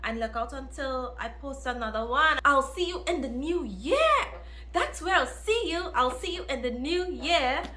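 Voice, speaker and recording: A woman chatting casually to viewers as a book reviewer, with an emphatic, expressive manner that repeats phrases for emphasis. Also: high-pitched, normal speed. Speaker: book reviewer, video host. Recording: roomy, echoey room.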